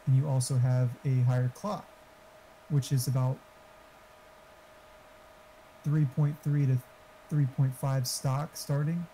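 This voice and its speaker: A man's voice: mid-range voice, flat delivery, takes it slowly, pauses often, warm tone, a little hiss or hum, poor audio. A man chatting casually in an enthusiastic, slangy way during a game. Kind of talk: casual chat during gameplay.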